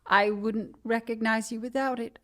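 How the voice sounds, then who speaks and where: An actress playing a wry, compassionate single New York woman who cares for the sick in a radio drama, speaking softly and with measure. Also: on the high side, steady tone, moderate pace, natural voice. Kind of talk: comedic radio drama acting.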